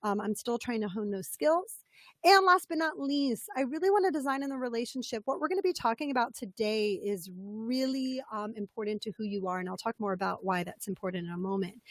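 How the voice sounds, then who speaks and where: A woman's voice: fairly high pitch, dry recording. A woman coach with a slightly scratchy voice, leading an interactive webinar in an upbeat, chatty way and greeting participants by name. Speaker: business coach presenting a webinar.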